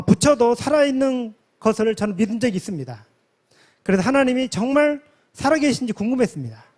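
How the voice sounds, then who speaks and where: High-pitched man giving a formal testimony. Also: a little mellow. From South Korea, from a village near Yeosu. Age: 40s. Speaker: conference member giving a testimony.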